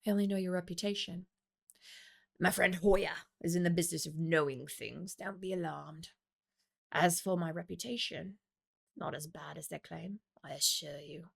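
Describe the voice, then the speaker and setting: Woman voicing a sly, gravelly predatory male champion. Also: on the low side, normal speed, full character voice. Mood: smooth persuasion. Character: predatory, cunning male guild champion, slyly courting.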